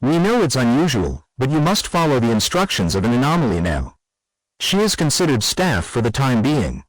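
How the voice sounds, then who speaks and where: A man's voice: mid-range voice, huge pitch swings, moderate pace, dry recording, rough recording. A man playing a grave official who reads a formal scripted emergency message to staff. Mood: grave, urgent. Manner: serious, official. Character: grave male official addressing secret foundation staff.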